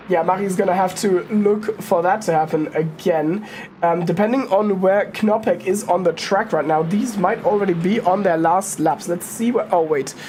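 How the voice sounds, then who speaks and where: A woman's voice: lowish voice, darkish tone, slight background noise. A woman giving casual live commentary on a sim race, thinking aloud and correcting herself as she goes. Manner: informal, thinking aloud, hesitant self-correcting.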